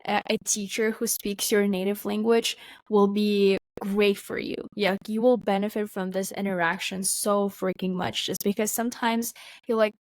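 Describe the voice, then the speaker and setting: A woman's voice: mid-range voice, flat delivery, dry recording. Chatty woman, dry close sound. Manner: chatty, fast, peppered with "like". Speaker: podcast co-host. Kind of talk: casual chat.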